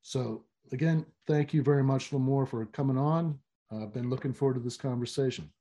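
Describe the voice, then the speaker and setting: A man's voice: mid-range voice, warm tone. A man hosting a podcast interview, speaking with frequent fillers and restarts. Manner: halting, many fillers and restarts. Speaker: podcast host.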